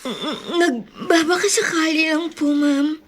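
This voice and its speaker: A timid teenage housemaid for radio drama. Her voice is extremely high and girlish. She speaks politely and haltingly, as if begging to be believed. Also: slight background noise. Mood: timid, tearful. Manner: polite, hesitant, stammering. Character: timid, pleading teenage runaway girl applying as housemaid. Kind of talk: Tagalog radio drama acting.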